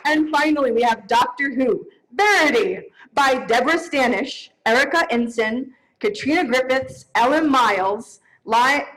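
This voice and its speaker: A woman's voice: high-pitched, measured pace, few pauses, a bit bright, a faint crowd, rough recording. A woman co-presenting awards live on stage in a playful, chatty, conversational way.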